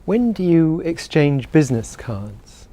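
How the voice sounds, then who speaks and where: Interviewer guy, slightly high but mellow voice, polite questions. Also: very animated, takes it slowly.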